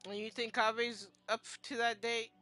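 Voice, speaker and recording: A woman's voice: fairly high pitch, flat delivery, a little slow, sharp tone, faint music behind. A woman streamer giving casual, reactive game commentary in a slangy, sweary, gushing way. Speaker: gaming streamer.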